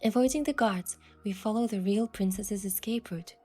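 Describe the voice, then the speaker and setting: A woman playing a young romantic heroine, narrating her adventure in a soft, measured, wistful way for a game story. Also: mid-range voice, breathy edge, faint music behind, close to an everyday voice. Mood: earnest, wistful. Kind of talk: scripted first-person story narration.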